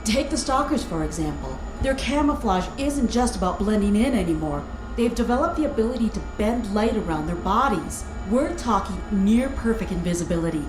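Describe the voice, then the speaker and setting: Woman acting brisk military scientist, lively intonation, explanatory, assertive, music behind, audio drama. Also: mid-range voice, natural voice. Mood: assured, serious. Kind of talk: sci-fi audio drama dialogue. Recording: echoey room under music.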